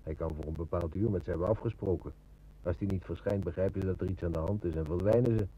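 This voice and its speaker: An actor with a Netherlands Dutch accent playing a stern, shrewd police inspector in an old radio drama, speaking with dry authority. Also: male voice, low-pitched, fast, dark tone, slight background noise, close to an everyday voice, low-quality recording. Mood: wary, commanding.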